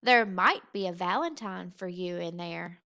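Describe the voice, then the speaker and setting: A woman's voice: lowish voice, expressive, measured pace, sharp tone, pitched below the performer's own voice, exaggerated voice. A woman voicing a caring, playful mother bear in a storybook, with a fond, coaxing manner.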